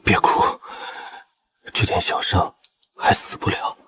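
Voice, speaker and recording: A man's voice: low-pitched, moderate pace, husky, dry recording. A man playing a stern, loyal and fiercely protective general in a Chinese costume drama. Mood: tender, weak.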